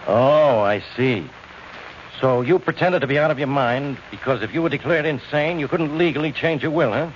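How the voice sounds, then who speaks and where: An actor playing a blustering, easily rattled police inspector in the style of 1940s radio detective drama. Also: male voice, medium pitch, moderate pace, lightly acted. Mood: skeptical, blustering. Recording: noisy, muffled old radio recording.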